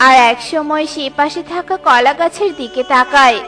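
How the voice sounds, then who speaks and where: A woman's voice: high voice, moderate pace, pushed and bright, soft background music. A woman reading scripted narration for a children's cartoon story.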